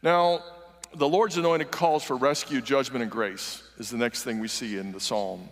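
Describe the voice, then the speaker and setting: A man's voice: mid-range voice, steady tone, moderate pace. A man in his sixties giving an earnest, conversational sermon in a large church sanctuary, moving around the stage as he preaches. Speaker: guest preacher. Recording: long reverb of a large church hall.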